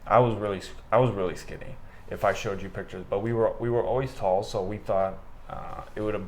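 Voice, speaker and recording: A young man from Canada talks in a low, easygoing voice. He rambles and restarts, and fills his answers with "like" and "uh". A faint hum of the room sits behind him. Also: brisk. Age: 20s. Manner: relaxed, rambling, lots of fillers and restarts. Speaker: guest, amateur boxer. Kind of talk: casual interview conversation.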